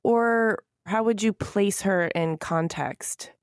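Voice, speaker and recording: A woman's voice: medium pitch, slow, no echo, clean studio sound. A woman hosting an interview podcast, asking curious, upbeat questions of a guest. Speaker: podcast host.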